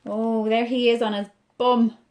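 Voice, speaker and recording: A woman with an Irish accent, a children's librarian reading a picture book aloud, gently asking her young listeners questions. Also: a little high, level tone, measured pace.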